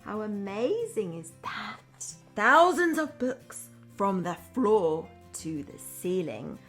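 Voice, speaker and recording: Female storyteller, primary-teacher style: unhurried, expressive read-aloud with simple asides for little kids, soft music underneath. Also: medium pitch. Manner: expressive, addresses young children simply.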